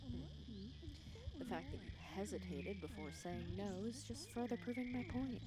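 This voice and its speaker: A woman's voice: on the high side, sing-song, measured pace, over a noisy crowd, rough recording. A woman playing a wry, bossy but caring female villain who fusses over her hero nemesis in an intimate ASMR roleplay. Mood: earnest, gentle reproach. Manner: intimate, wry, fussing and bossy with soft teasing. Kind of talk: ASMR roleplay acting, one side of a conversation. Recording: clear cricket and insect ambience behind. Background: crickets and outdoor night insects.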